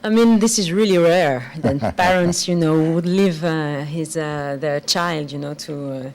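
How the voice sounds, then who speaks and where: A young woman from Morocco, raised in France, answering interview questions haltingly with many fillers. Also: deep voice, creaky, darkish tone. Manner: halting, many fillers, non-native phrasing. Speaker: guest singer. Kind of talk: live studio interview.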